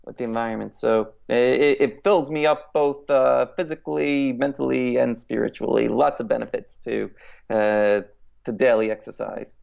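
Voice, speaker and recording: A man in his late thirties, a guest in an interview, talking in a reflective, halting way with many pauses. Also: mid-range voice, steady tone, creaky, a little mellow, dull audio. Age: 30s. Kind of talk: casual interview chat.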